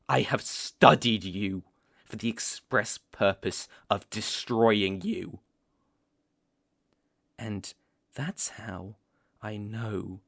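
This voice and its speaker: A man with a British accent playing a stern young vampire hunter, menacing yet reluctantly protective, in an ASMR roleplay with deliberate pauses and sighs. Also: on the low side, gravelly, fairly bright tone, exaggerated voice.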